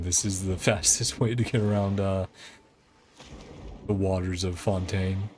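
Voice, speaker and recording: Laid-back guy doing game commentary, really deep voice, chill and chatty. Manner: relaxed, chatty, reads dialogue aloud with small laughs. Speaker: game streamer. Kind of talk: casual let's-play commentary.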